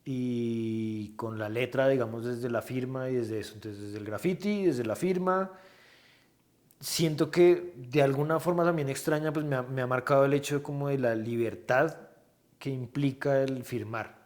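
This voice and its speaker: A man, a guest artist talking thoughtfully in a casual interview, often pausing and restarting as he searches for words. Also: medium pitch, a little mellow. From Colombia.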